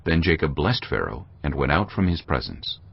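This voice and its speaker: Very deep, gravelly male narrator. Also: big pitch swings, normal speed. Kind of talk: dramatized scripture narration. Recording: dull lo-fi audio. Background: faint music.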